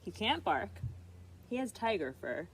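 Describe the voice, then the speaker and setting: A woman's voice: mid-range voice, sing-song, unhurried, slight background noise. A woman reading a picture book as a young boy, earnest and pleading in a sing-song way. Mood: hopeful, pleading. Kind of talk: picture book read-aloud.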